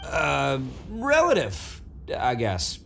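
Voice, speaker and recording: A man's voice: mid-range voice, wildly swooping pitch, drawn-out words, gravelly, fairly bright tone, soft background music, exaggerated voice. A man voicing a brash, good-hearted young oni gang boss in a video game, boastful and slangy. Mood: awkward. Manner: boastful, bashful when praised, casual slang. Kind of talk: video game character acting.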